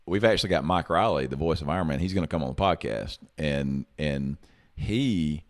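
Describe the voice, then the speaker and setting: Deep-voiced enthusiastic male podcast host. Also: normal speed. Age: 40s. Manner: enthusiastic, repeats words as he talks. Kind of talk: casual podcast chat.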